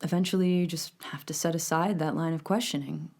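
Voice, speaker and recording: An actress playing a measured investigator giving matter-of-fact, conversational testimony in a documentary-style audio drama. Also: female voice, deep voice, steady tone, darkish tone. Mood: reflective, measured.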